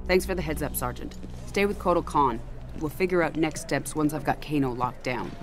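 A woman's voice: low voice, fairly quick, soft background music, close to an everyday voice. Tough female commander played by a woman for a fighting game: mature, curt, no-nonsense orders. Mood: businesslike. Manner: clipped, authoritative. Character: brisk, tough female military commander, middle-aged. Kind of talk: video game cutscene acting.

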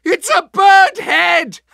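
A manic German mad doctor. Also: male voice, very high-pitched, creaky, pushed and bright, no echo, clean studio sound, full character voice. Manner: theatrical, barking, gleefully deranged. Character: manic German male battlefield doctor, unhinged. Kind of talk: video game voice lines.

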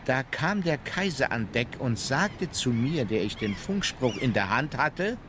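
An actor playing a sober naval officer, narrating a diary factually and formally in a historical radio drama. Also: male voice, mid-range voice, very animated, unhurried. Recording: clearly noisy outdoor background.

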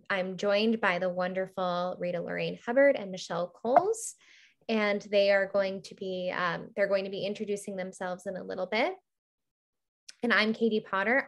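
A woman hosting and moderating an online webinar, upbeat and organized with occasional ums, over a computer mic. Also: mid-range voice. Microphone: computer mic on a video call.

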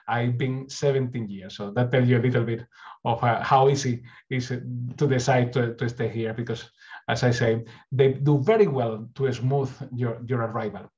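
A man's voice: mid-range voice, a little mellow, highs cut off. A middle-aged man from Spain with a Spanish accent, giving an earnest university presentation over slides with frequent restarts. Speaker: university recruitment speaker. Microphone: webcam on a video call.

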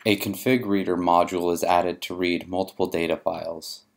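Male narrator, low register, measured and methodical, for a scripted software demo. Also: flat delivery, vocal fry. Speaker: software demonstrator. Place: voice-over for a screen recording.

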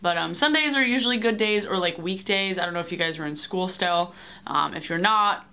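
A woman's voice: on the low side, brisk, sharp tone, clean tone. A young woman rambling casually in a bedroom vlog, full of "like" and "um" and casual swearing, recorded on a webcam. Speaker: vlogger. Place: small bedroom, indoors. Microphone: webcam at desk distance.

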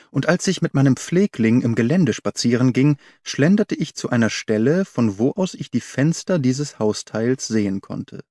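A man reading the part of an observant young governess who recounts a mystery, in measured, precise audiobook narration. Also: mid-range voice, mellow, no echo, clean studio sound. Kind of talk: first-person audiobook narration.

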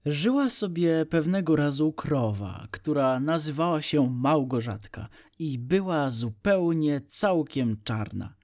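A man's voice: on the high side, animated, slow, hardly any pauses, a little mellow, no room sound, studio quality. A man narrating an audiobook story for young children.

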